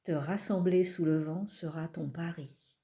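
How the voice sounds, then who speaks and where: A woman poet reading her own poems aloud in a calm, measured way, pausing between phrases. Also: low voice. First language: not French. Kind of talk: slow poetry reading.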